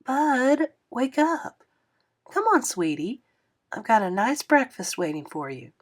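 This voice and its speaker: A woman voicing a kind but scolding motherly woman who fusses over a child in a lively way. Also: mid-range voice, normal speed. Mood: sweet. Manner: warm, animated, fussing.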